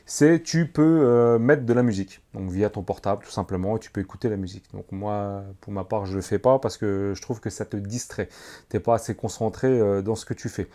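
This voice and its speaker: A man's voice: lowish voice, a little fast, warm tone. A man gives a casual, slangy gear review, speaking to the viewer as to a friend. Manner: informal, slangy, addresses the viewer familiarly. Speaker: motorcycle vlogger reviewing gear. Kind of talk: casual product review to camera.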